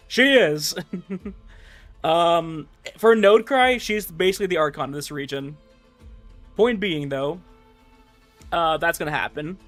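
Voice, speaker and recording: A man with a fairly high-set voice talks to his livestream audience. He is conversational and rambles loosely from thought to thought. Soft music plays faintly behind him. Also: a little slow, long pauses. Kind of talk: casual chat with stream viewers. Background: background music.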